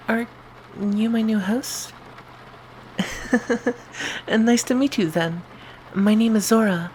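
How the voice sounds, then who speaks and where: A timid young male zombie, acted by a woman for an ASMR roleplay. He is friendly and eager to please, speaking slowly and hesitantly, over a faint layer of noise. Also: medium pitch, darkish tone, lightly acted, poor audio.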